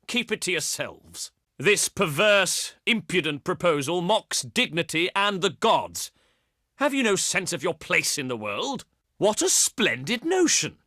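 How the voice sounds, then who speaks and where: A proud, scornful Greek envoy from antiquity in a strategy game. His voice sits high and rings out sharp and forceful, swinging widely as he declaims. The delivery is pompous and dripping with sarcasm. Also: no echo, studio quality, exaggerated voice. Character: haughty, scornful ancient Greek diplomat, male. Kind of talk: theatrical video game diplomacy lines.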